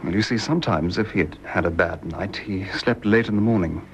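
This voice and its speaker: Restrained young gentleman, low voice, shaken and earnest, radio drama, faint noise. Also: fairly quick. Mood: subdued. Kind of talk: period radio drama acting.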